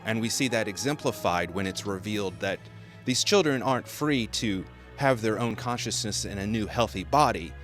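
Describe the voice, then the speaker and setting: A man's voice: on the low side, animated, moderate pace, fairly bright tone, faint music behind. A man delivering scripted video-essay commentary with casual asides.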